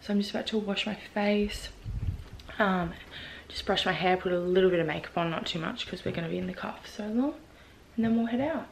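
A woman's voice: mid-range voice, fairly quick, vocal fry. A woman of twenty with an Australian accent, chatting informally to camera in a casual vlog. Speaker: vlogger. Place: parked car interiors and home kitchen. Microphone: handheld camera at arm's length. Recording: background noise, in car or home.

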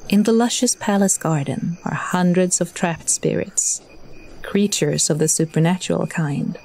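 A woman narrating scripted fiction as a wry, measured storyteller. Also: fairly low pitch, creaky, a little mellow, slight background noise.

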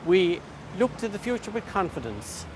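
A man's voice: high-pitched, sing-song, moderate pace, a little hiss or hum. An older man delivering a prepared, formally worded closing address outdoors. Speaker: pantomime society spokesman. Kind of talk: scripted address. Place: outdoors at a building entrance.

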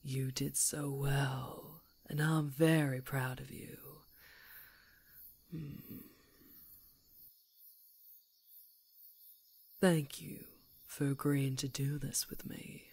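A woman playing a female werewolf in an intimate ASMR roleplay, predatory yet tender, coaxing in a breathy voice that often drops to whispers. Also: rumbling low, little pitch movement, takes it slowly, a little mellow, exaggerated voice. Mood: soothing, tender.

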